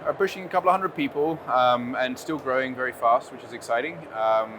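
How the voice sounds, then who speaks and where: A man's voice: mid-range voice, a little fast. A British man whose first language is Dutch, answering interview questions conversationally and hesitantly, with many filler phrases. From United Kingdom. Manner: hesitant, with frequent "you know". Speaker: interview guest, game studio general manager. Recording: clear background noise, busy venue ambience.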